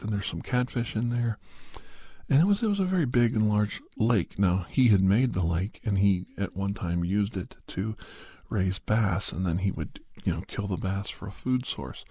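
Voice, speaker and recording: A man playing a serious doctor, telling a horror story in a husky voice with deliberate, halting pauses for an ASMR podcast. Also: on the low side, dark tone, dry recording. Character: male doctor recounting a creature hunt, measured and serious. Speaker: storyteller narrator.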